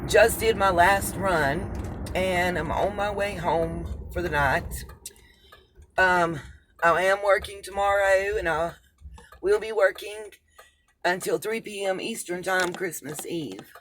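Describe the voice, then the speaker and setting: A woman's voice: lowish voice, slow, a bit bright. A middle-aged American woman with a congested, nasal voice, rambling casually to her viewers as a vlogger. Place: inside a moving car. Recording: noisy car interior with road noise. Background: car and traffic noise.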